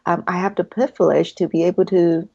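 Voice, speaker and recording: A woman's voice: on the low side, fairly even intonation, fairly quick, creaky, warm tone, breathy edge, muffled recording. A woman whose first language is Cantonese, chatting as an interview guest in an effusive way with frequent ums. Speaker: guest, hypnotherapy practitioner. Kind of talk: casual interview chat.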